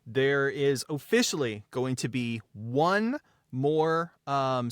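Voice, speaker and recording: A man on a podcast panel making casual announcements in a halting way with emphatic pauses. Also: medium pitch, dry recording, clean studio sound. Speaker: podcast panelist from the production team.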